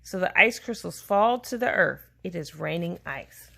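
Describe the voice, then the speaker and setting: A woman reading a children's science book aloud to a child in a deliberate, explaining way, pausing to answer questions. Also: lowish voice, animated, vocal fry, sharp tone.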